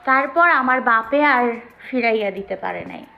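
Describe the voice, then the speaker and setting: অডিও গল্পে একজন নারী অভিনেত্রী বাংলাদেশের গ্রাম্য আঞ্চলিক টানে এক স্পষ্টভাষী, জেদি ষোলো বছরের বউয়ের চরিত্রে অভিনয় করছেন।. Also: female voice, fairly high pitch, expressive, normal speed, vocal fry. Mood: resentful. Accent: rural Bangladeshi. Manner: blunt, defiant dialect speech. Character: blunt, proud sixteen-year-old village bride. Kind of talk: audio story drama acting. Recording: dull lo-fi sound with music. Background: music.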